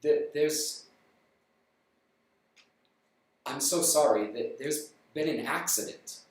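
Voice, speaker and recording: A storyteller voicing a nervous, solemn man from a factory who speaks haltingly. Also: medium pitch, pitched below the performer's own voice, lightly acted. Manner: hesitant, halting. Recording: roomy, distant mic.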